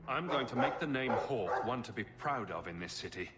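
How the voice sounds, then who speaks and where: An actor playing a wry, self-assured adventurer hero in a video game, speaking bluntly. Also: male voice, mid-range voice, animated, normal speed, close to an everyday voice. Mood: resolute. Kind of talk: video game cutscene acting. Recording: noisy ambience behind the voice.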